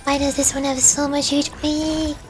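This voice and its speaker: A woman's voice: sits high, flat delivery, moderate pace. A woman giving playful, casual commentary as she streams a video game. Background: faint music.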